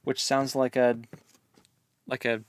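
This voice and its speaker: A man's voice: mid-range voice, little pitch movement, slow pace, clear, resonant tone, dry recording. A man co-hosting a casual book discussion with laid-back, hesitant phrasing. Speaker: co-host of a book read-along discussion.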